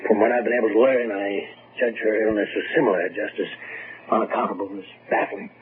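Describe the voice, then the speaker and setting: Male actor as world-weary physician, lowish voice, measured and skeptical, radio drama, narrow telephone-like sound. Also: steady tone, natural voice. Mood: reasoning. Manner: measured, deliberate, pausing. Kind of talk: old-fashioned radio drama acting. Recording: muffled phone-like radio, faint noise.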